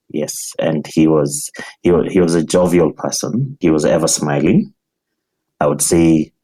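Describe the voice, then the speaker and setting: An extremely deep-voiced man with a Kenyan accent and a husky tone. He is a podcast guest sharing personal recollections, speaking in a measured way and repeating phrases for emphasis. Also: warm tone, rough recording. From Nairobi, Kenya.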